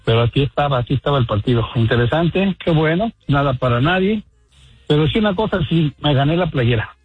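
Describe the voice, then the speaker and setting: Husky Mexican male caller, dull phone audio. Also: a little high, lively intonation, a little fast, dry recording. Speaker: regular caller to sports radio show. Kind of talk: casual chat.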